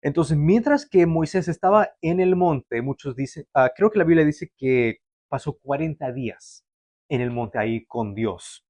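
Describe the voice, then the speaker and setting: Young male pastor, Canadian-born, casual sermon delivery, slightly high voice, dry close sound. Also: expressive, measured pace, darkish tone, no echo. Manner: conversational, with frequent fillers and restarts. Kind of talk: sermon to a livestream audience.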